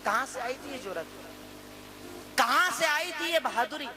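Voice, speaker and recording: A man's voice: extremely high pitch, expressive, normal speed, over music. A man preaching an impassioned sermon, building to exhortation with repeated rhetorical questions and an Indian Urdu accent. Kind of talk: Islamic sermon in Urdu-Hindi.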